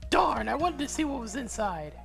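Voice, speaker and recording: Man acting young woman detective, video game, chatty, stubborn, lively pitch, creaky edge, faint music behind. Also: moderate pace, heavily acted. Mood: curious. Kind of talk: video game character dub in a let's play.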